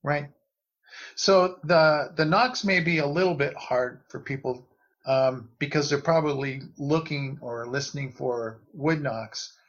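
A man giving casual explanatory commentary as a guest analyst, measured and tentative, on a slightly lo-fi recording. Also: on the high side, a bit bright. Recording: slightly dull, lo-fi sound.

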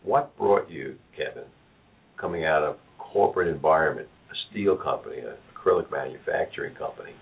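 A man's voice: very deep voice, lively intonation, fairly quick, vocal fry, slightly rough. A man from New York City with a New York accent, hosting a business interview and asking probing follow-up questions. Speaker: show host. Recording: tinny phone-like audio.

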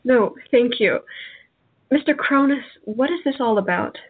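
A woman's voice: on the high side, unhurried, breathy edge. A woman playing an anxious, sceptical, proper young lady in a radio play. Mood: polite, puzzled. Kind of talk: hobby radio play acting. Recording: dull lo-fi audio.

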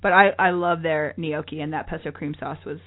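Chatty female travel co-host, lowish voice, bubbly and quick to laugh, phone-thin audio. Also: fairly even intonation. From lived in New York City. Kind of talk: casual chat. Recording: tinny phone-like line.

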